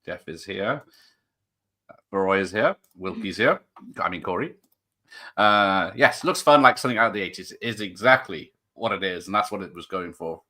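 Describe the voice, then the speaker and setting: A man hosting a chat with a bright, sharp tone, casual and jokey. He reads out viewer greetings, laughs along with his guest and asks loose questions. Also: low-pitched, animated, moderate pace. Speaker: interview host.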